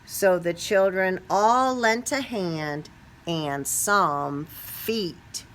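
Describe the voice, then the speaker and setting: A woman's voice: on the low side, very slow, fairly bright tone, a little hiss or hum, low-quality recording. A woman reading a children's picture book aloud expressively, stretching key words and putting questions to young listeners. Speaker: storybook reader.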